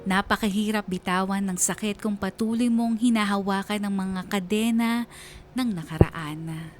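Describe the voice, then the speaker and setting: Actress as reflective Filipino woman narrator, soft music. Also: medium pitch, fairly even intonation, normal speed, lightly acted. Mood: pained, reflective. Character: reflective woman letter sender narrating her painful, hopeful life story. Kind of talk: scripted dramatic narration.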